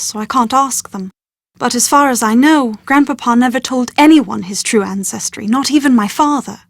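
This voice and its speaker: High-voiced posh young British woman. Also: vocal fry, dry recording, studio quality. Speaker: voice actor in an adventure game. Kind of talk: scripted video game character dialogue.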